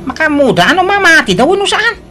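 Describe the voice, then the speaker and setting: An actor playing a boastful carpenter who poses as an engineer in a comic radio drama, animated and full of exclamations. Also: male voice, extremely high pitch, fast, loud music behind the voice. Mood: defiant. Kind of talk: comedic radio drama acting.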